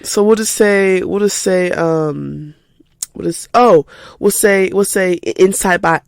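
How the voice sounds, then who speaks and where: An eighteen-year-old woman chats casually and spontaneously as a podcast host, animated and informal, slightly stuffy from a cold. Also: fairly low pitch, measured pace, gravelly, clear, resonant tone, dry recording, clean studio sound. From United States, lives in Georgia. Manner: animated, informal, addresses listeners as y'all. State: recovering from a cold.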